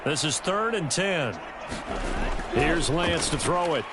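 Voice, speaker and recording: A man playing an American play-by-play announcer, calling football in a composed, brisk way for a video game. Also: on the high side, expressive. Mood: engaged, composed. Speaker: play-by-play sports commentator. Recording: loud noise behind the voice, game stream.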